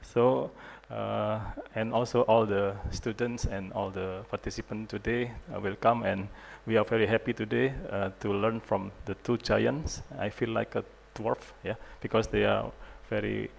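A man in his thirties with an Indonesian accent, giving a conference lecture on a handheld mic in a large hall, halting and self-deprecating with many fillers. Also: lowish voice, little pitch movement, creaky. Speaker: guest lecturer, urologist.

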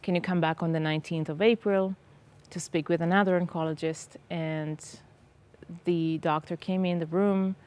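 A woman's voice: on the low side, fairly even intonation, moderate pace, darkish tone. Earnest woman, hesitant speech. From Israel. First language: Hebrew. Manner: earnest, hesitant, many ums. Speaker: guest. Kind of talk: personal testimony in a TV interview. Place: TV studio set. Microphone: lapel.

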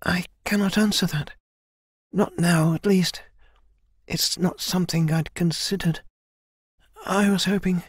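A man voicing a young woman warrior, earnest and anxious but determined, with a breathy delivery in an audiobook. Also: sits high, steady tone, a little slow, long pauses, no echo, studio quality, voice pushed up. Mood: conflicted, pleading.

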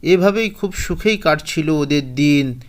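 A man's voice: on the high side, expressive, unhurried. A man narrating a scripted children's bedtime story as the storyteller of a cartoon.

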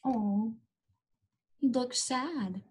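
A young woman reading a storybook aloud to small children in an animated, coaxing way, pausing to ask them questions. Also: on the high side, breathy edge. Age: young adult. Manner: animated, coaxing, exaggerated delivery for young listeners. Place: small indoor room.

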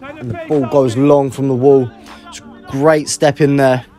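A man giving live amateur football play-by-play, excitable and prone to raising his voice at big moments. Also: medium pitch, unhurried. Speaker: football match commentator. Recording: music and crowd noise behind, outdoors. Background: music and outdoor crowd sounds.

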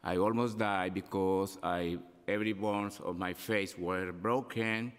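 A man's voice: low-pitched, steady tone, clean tone. Colombian man testifying in broken English. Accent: Colombian Spanish. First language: Spanish. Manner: halting, gesturing with his hands. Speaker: public testifier. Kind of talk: unscripted public testimony. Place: large city council chamber. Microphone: desk microphone. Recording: long hall reverb.

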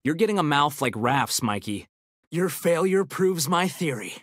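Nerdy young american ninja turtle from a fighting game, cocky, super animated, dry close sound. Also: male voice, fairly high pitch, measured pace, no room sound. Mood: cocky, quippy. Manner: nerdy, confident, quippy delivery. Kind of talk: video game character battle banter.

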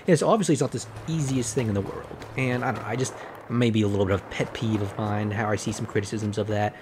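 A slightly hoarse man rambling through casual gameplay commentary, full of fillers and self-corrections. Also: on the low side, steady tone, fast talker, noisy background. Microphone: desk microphone.

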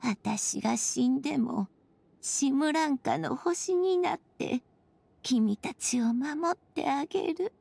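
Tender, slow-spoken high-voiced mother. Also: vocal fry, no echo, full character voice. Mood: tender, wistful. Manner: soft, soothing, slow, breathing into near-whispers. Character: tender, selfless mother creator, gentle and wistful. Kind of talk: scripted game voice acting, storybook-like.

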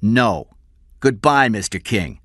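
A man's voice: lowish voice, sing-song, slow pace, a bit bright, no room sound, clean studio sound, voice pushed up, heavily acted. A man voicing a cold male kidnapper in an audiobook, threatening and commanding in clipped phrases. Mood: cold, menacing. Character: cold, menacing male kidnapper giving orders.

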